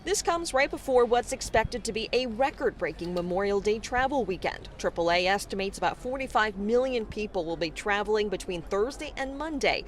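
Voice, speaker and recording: A woman's voice: medium pitch, lively intonation, fairly quick, hardly any pauses. An American woman reporting the news live from outdoors in a scripted broadcast style. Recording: noisy outdoor location, traffic ambience.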